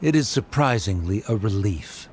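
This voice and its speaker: A man's voice: fairly low pitch, expressive, normal speed, a little hiss or hum, lightly acted. A man playing a haughty, stern, learned antagonist in a video game, with formal, disdainful diction.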